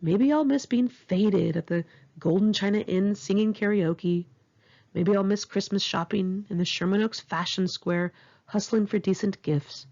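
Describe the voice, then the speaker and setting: A Californian American woman reading her own memoir aloud in a candid, expressive, conversational way, with a husky, creaky voice. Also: mid-range voice, measured pace, muffled recording. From Los Angeles area, California, United States. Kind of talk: narrated memoir audiobook, one-take home reading.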